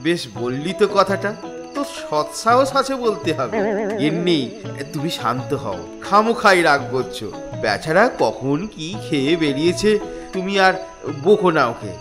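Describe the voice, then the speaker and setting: A man voicing a kindly, naive retired husband in a comic cartoon, a pompous do-gooder who gushes and gets excitable. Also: fairly high pitch, moderate pace, music in the background. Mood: approving.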